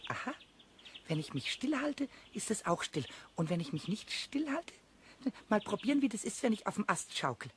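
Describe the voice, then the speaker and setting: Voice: medium pitch, very animated, normal speed, background noise, lightly acted. An actor playing a small, cheeky, curious male kobold in a children's audio play, with stammering, eager repetitions and childlike exclamations. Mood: curious, intent.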